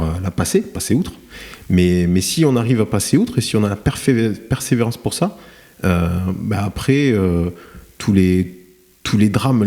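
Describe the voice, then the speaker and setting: Middle-aged man, deep and warm, halting and reflective interview guest. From France. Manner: hesitant, many fillers and restarts. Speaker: guest, cement plant director. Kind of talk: podcast interview, reflective storytelling.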